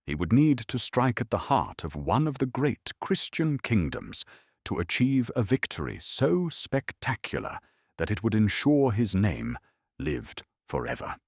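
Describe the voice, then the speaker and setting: A man narrating a calm bedtime history documentary in a measured, solemn storytelling manner. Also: mid-range voice, gravelly, breathy edge, no room sound, clean studio sound.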